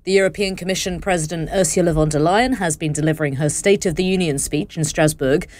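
A woman's voice: on the low side, fast, a little mellow. A British woman reading a scripted news bulletin on the radio. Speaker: radio newsreader.

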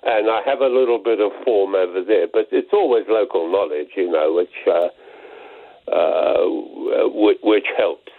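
Quiz caller: man, South African accent, low voice, halting, tinny phone line. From England. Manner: slow and halting, many fillers. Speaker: quiz contestant. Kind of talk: radio phone-in chat.

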